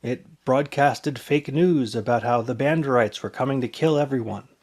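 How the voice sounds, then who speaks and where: A man presents a scripted explainer as narrator. His voice sits at an average pitch for a man. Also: normal speed, a little mellow.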